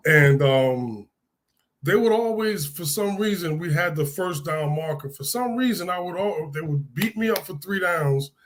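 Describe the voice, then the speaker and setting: Relaxed rambling man in his sixties. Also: fairly high pitch, measured pace. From Hartford, Connecticut, USA. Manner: relaxed, rambling with many restarts and "uh"s. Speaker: guest, former football player. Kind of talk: casual interview storytelling.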